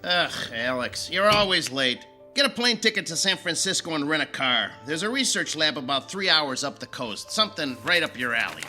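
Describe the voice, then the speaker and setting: A commanding male newspaper editor with a very sharp, forceful voice on the higher side. He is brusque and sardonic, with animated intonation, in a radio play. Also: faint music behind, exaggerated voice. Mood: impatient. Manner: brisk, sarcastic, commanding. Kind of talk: radio drama dialogue.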